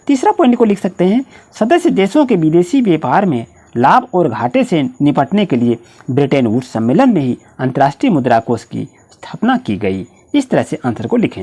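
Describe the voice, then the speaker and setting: A woman's voice: on the low side, dark tone, breathy edge. A woman with an Indian accent teaching school students, dictating exam answers point by point in an instructional way.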